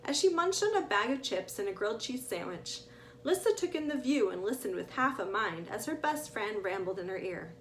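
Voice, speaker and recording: A woman author reading her adventure story aloud as an expressive narrator. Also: on the high side, moderate pace, a little hiss or hum.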